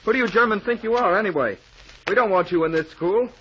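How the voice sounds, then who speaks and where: An actor playing a scrappy, tough-talking American schoolboy in an old radio drama, slangy and challenging. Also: male voice, high-pitched, fast talker, bright, forceful tone. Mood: taunting. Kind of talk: 1940s radio acting. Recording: muffled, noisy old radio recording.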